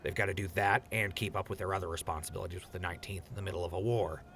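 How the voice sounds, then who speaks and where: Man playing a fast-talking, wry, low-voiced ghoul. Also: steady tone, gravelly, a bit bright, a little hiss or hum. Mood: exasperated, coaxing. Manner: casual, sardonic. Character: wry, teasing male ghoul, needling but sensible friend. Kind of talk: post-apocalyptic audio drama acting.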